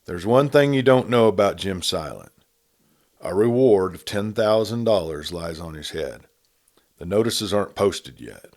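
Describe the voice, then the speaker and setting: An earnest western lawman acted by a male narrator argues in a fairly low voice. He is careful, emphatic and persuasive, with a touch of exasperation, in storybook style. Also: expressive, a little slow, darkish tone. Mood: businesslike, insistent. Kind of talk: audiobook character voice.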